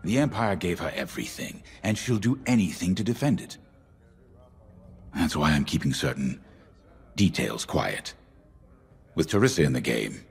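A calculating rebel commander in a sci-fi game, real low voice, commanding, music behind. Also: male voice, gravelly, husky, heavily acted. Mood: grave, guarded. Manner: authoritative, measured. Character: commanding middle-aged male rebel commander, calculating, secretive. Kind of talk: video game briefing dialogue.

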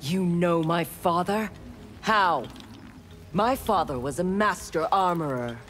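A cold, ruthless young ninja warrior in a fighting game. Her delivery is slow, clipped and haughty, with a faint music bed underneath. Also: fairly low pitch, expressive. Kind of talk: video game dialogue.

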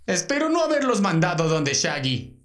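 A man's voice: high-pitched, very animated, normal speed, background noise. A man voicing a friendly, polite robot athlete in a cartoon dub, courteous and formal. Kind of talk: fan-dub cartoon acting in Spanish. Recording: roomy echo, faint music behind.

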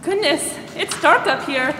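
A woman's voice: high voice, animated, unhurried, sharp tone, full character voice. A young woman playing a polite, sympathetic young social worker in a 1940s-style radio drama on stage. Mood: startled. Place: large theatre stage, indoors. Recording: echoey stage hall, faint noise.

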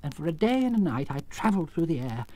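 A man's voice: a little high, big pitch swings, fast, darkish tone, pitched above the performer's own voice. A man playing a timid, flustered little old fraud of a wizard in a storybook tale, with a trembling, stammering voice. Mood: confiding, apologetic. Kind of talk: storybook character acting.

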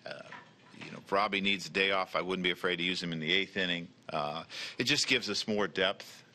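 A man in his forties, a baseball manager, answering reporters at a press conference table mic, measured and often repeating words as he starts. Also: low voice, bright, forceful tone. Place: press room in front of a team backdrop. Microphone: table mic with a logo flag.

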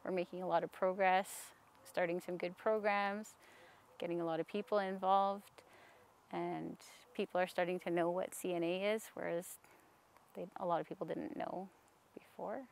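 A woman in her thirties, speaking softly outdoors in a sunny park, answering interview questions hesitantly with many pauses while she is losing her voice. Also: on the low side, fairly even intonation, gravelly. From Canada. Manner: soft-spoken, hesitant with frequent ums. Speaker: staff member interviewee.

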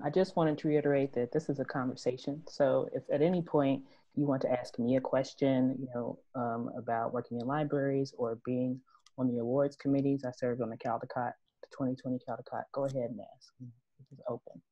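A woman moderating a panel discussion over a video call, speaking in a relaxed, conversational way with many fillers. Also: very deep voice, fairly quick, dry recording, lo-fi sound.

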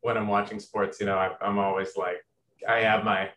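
Young man from Indiana, low voice, halting guest, many restarts, faint room hum behind. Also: flat delivery, fairly bright tone. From Southern Indiana. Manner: hesitant, many restarts and fillers. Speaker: guest composer. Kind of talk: casual interview.

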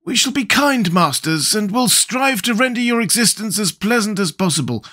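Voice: mid-range voice, lively intonation, moderate pace, bright, forceful tone, pitched above the performer's own voice. A reader voicing a kindly, proud storybook scarecrow who talks in an encouraging way. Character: kindly, proud Scarecrow, cheerful sociable leader.

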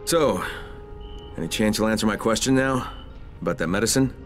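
An actor playing an earnest, dogged outsider in a video game, speaking plainly and sometimes hesitantly. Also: male voice, on the low side, normal speed, soft background music, close to an everyday voice. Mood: earnest, puzzled. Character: earnest male stranger seeking medicine, dogged and plain-spoken.

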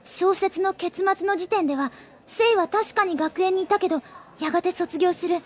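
An actress playing an eager, quick-witted schoolgirl who reasons earnestly in an anime audio drama. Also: very high voice. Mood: thoughtful, eager. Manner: earnest, excitable deduction.

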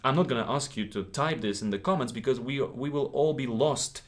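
A man's voice: medium pitch, a little fast. A man in his thirties, a native Ukrainian speaker with a Ukrainian accent, teaching English in a live stream from a small room, encouraging and checking in with his viewers often. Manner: encouraging, repeats himself, checks in with "okay?".

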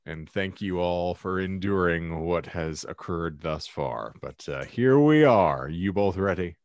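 Deep-voiced man hosting a wordy podcast. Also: animated, unhurried, a little mellow, dry recording, studio quality. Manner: wordy, florid, mock-formal, joking. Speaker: podcast host. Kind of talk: wry podcast banter and introduction. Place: home room lined with shelves, video call. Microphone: close desk microphone.

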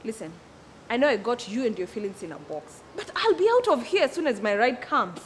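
A woman's voice: on the high side, sing-song, a little fast, big-room sound, slight background noise. A woman playing a snappish, sneering younger sister in a drama, sarcastic and dismissive.

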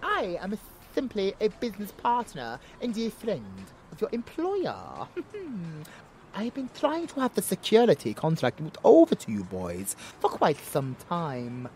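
Casting: smug, genteel vampire club owner; theatrical, unhurried, hugely swooping delivery, noisy ambience behind. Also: male voice, high-pitched, huge pitch swings, darkish tone, heavily acted. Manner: theatrical, purring, condescending. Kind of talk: audio drama acting.

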